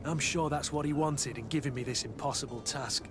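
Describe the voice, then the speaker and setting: An actor playing a worried, grumbling soldier bodyguard in a fantasy game. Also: male voice, medium pitch, fairly quick, natural voice. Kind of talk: scripted fantasy game dialogue. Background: music.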